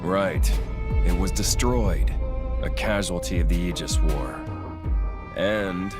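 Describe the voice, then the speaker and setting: A businesslike, serious lieutenant among video game villains. His voice is deep, and music is clearly audible behind it. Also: slow. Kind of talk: video game cutscene acting. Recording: music over the voice.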